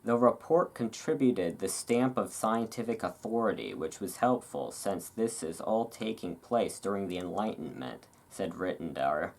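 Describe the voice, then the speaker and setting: A host giving a relaxed ASMR read-aloud, drifting into casual, musing asides. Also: low voice, moderate pace, creaky, hardly any pauses, poor audio. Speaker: host reading and commenting on a magazine.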